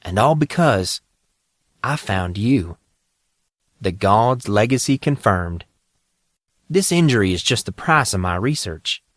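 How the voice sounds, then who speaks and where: A man voicing a calm, eccentric teenage boy genius in an audiobook, cheerful and cryptic in an assured way. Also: on the low side, slow, long pauses, dry recording, clean studio sound, full character voice. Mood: serene intensity. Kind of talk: audiobook character dialogue.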